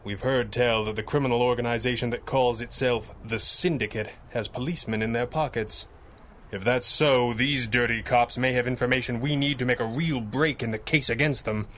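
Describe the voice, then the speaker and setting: Actor as a stern, formal masked crime-fighter. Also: male voice, mid-range voice, steady tone, fairly bright tone, a little hiss or hum, lightly acted. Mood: grave, determined. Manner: measured, formal, grave speech. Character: stern, vigilant masked male superhero crime-fighter leader. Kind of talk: 1930s radio adventure serial acting.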